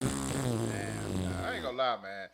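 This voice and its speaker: A young man co-hosting a podcast in casual chat, slangy and animated, laughing often and swinging between low mutters and loud outbursts. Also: slow, vocal fry, very noisy. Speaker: podcast co-host.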